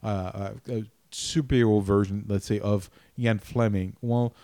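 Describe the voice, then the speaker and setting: A man's voice: on the low side, fairly even intonation, normal speed, mellow, no echo, studio quality. A man co-hosting a casual film discussion, often breaking off and restarting his sentences mid-thought. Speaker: podcast co-host.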